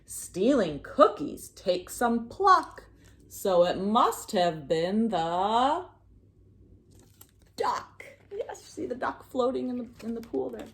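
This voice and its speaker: Woman, children's storyteller, slow pace, very lively pitch, sing-song, prompting listeners. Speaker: read-aloud storyteller for young children. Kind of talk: expressive picture-book read-aloud with chanting refrain.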